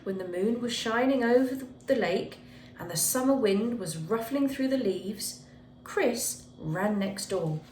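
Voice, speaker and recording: A woman reading a children's picture book aloud expressively, with dramatic emphasis on key words. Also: fairly high pitch. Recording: echoey room with faint background noise.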